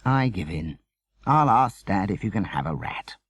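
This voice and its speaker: A man voicing a put-upon, hesitant mother in a storybook, tentative and gently evasive. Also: sits low, very animated, unhurried, full character voice. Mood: resigned.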